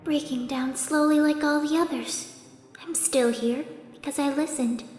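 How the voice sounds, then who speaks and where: A high, eerie disembodied female voice, as a woman acts it out, hollow and ominous. It rings with long echo, as if heard from far off in a large hall. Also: steady tone, a little slow, a little mellow, a little hiss or hum. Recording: echoey, reverberant and distant.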